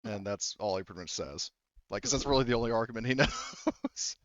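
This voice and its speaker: A man runs a tabletop game with a smooth, clean voice. He narrates casually, halting and rambling as he improvises. The sound is dry and a little lo-fi. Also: fairly low pitch, fairly bright tone, no room sound. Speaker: game master.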